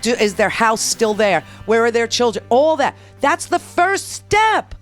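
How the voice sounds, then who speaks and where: A woman's voice: a little high, sing-song, unhurried, faint music behind. Brash sarcastic woman radio host. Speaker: radio talk show host. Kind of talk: political talk radio monologue.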